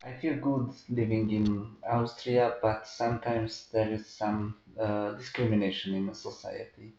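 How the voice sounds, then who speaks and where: A man, hesitantly telling his own story as an artist in an interview, pausing and restarting often. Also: lowish voice, flat delivery, warm tone, slight background noise. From Hungary. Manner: hesitant, many pauses and restarts. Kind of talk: halting personal account. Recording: roomy, dull-sounding recording.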